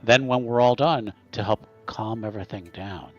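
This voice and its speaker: A slightly dull recording of a man with a fairly low voice. He chats casually as a player planning moves in a tabletop game, with faint music behind him. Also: moderate pace.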